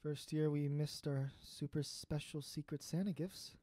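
Performer as gentle, pleading Warren, mellow-voiced. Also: medium pitch, steady tone, a little slow, no echo, natural voice. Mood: nostalgic. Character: gentle, pleading, sentimental old friend named Warren. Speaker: voice actor in student audio drama. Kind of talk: naturalistic audio drama dialogue.

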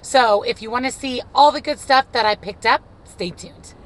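A woman's voice: on the high side, normal speed, poor audio. A woman in her thirties with an American accent, chatting casually and gushingly to her viewers from inside a car. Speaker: vlogger. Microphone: phone or camera at arm's length.

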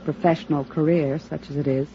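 Low-pitched, jaded wisecracking comedienne. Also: female voice, fairly even intonation, brisk, warm tone. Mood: bitter, sardonic. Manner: dry, wisecracking. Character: jaded, sardonic female comedienne. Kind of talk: 1950s radio drama acting. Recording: dull, noisy old radio recording.

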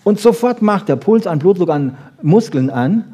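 Husky-voiced man lecturing. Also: high-pitched, lively intonation, normal speed, dark tone. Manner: emphatic, rhetorical questions and short punchy asides. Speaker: lecturer on brain research. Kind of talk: lively popular science lecture to an audience.

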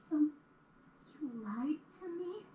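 A woman voicing an indignant young female pony, a confrontational and defensive little sister, in an animated fan audio drama. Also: high voice, takes it slowly. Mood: hurt. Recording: echoey, faint background noise.

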